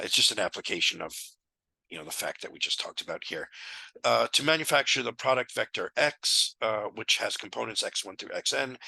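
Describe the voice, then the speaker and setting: Hoarse, sick man in his 30s, gravelly, very bright tone, casual math tutor on a headset. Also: fairly low pitch, no room sound, poor audio. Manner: casual, thinking aloud with fillers. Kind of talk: casual lecture walkthrough. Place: small room indoors.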